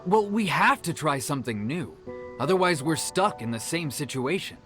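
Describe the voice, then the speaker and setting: An actor playing a proud, earnest young martial arts disciple who explains things in a video game. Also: male voice, fairly high pitch, very animated, moderate pace, over music. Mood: discouraged, determined.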